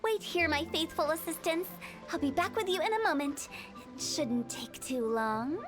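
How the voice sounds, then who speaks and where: A woman's voice: sits high, lively intonation, normal speed, music in the background. A woman playing a quirky, eager young female funeral parlor director in a video game, with a playful, salesy confidence. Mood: brisk, eager. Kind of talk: video game character acting.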